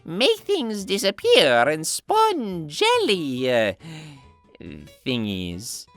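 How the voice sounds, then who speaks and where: A woman voicing a hesitant, easily startled cartoon character in a fan series, halting and incredulous. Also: on the low side, wildly swooping pitch, no room sound, faint music behind, heavily acted. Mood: puzzled.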